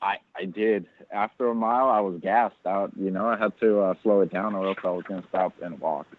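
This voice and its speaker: A man's voice: low voice, brisk, a little hiss or hum. A man chatting casually as a podcast guest, telling self-deprecating stories. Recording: tinny phone-like line.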